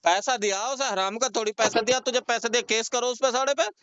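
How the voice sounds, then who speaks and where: A man's voice: extremely high pitch, fairly even intonation, brisk, fairly bright tone, clear, resonant tone, no echo. A man arguing heatedly on a recorded phone call, insistent, accusing and repeating his points in colloquial speech. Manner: confrontational, insistent, accusing, repetitive.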